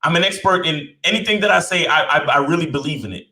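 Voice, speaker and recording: A middle-aged man from Brooklyn with a bright, forceful voice, heard in a roomy space. He talks in a relaxed, humble way, with plenty of fillers and restarts. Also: fairly high pitch, quick pace. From born in Jamaica, raised in Brooklyn, New York. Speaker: podcast guest, dating coach. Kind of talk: casual interview chat.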